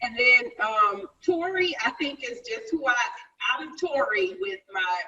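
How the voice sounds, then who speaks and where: A woman's voice: fairly high pitch, big pitch swings, measured pace, pushed and bright. A woman chatting informally and emphatically as a cast member on a group video call. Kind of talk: casual chat. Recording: dull, distant video-call audio.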